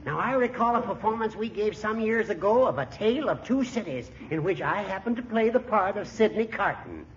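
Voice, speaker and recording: An old judge in a vintage radio sitcom, dryly needling and theatrical. His voice is high and lively, on a muffled, hissy recording. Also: brisk. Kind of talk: 1940s radio sitcom acting.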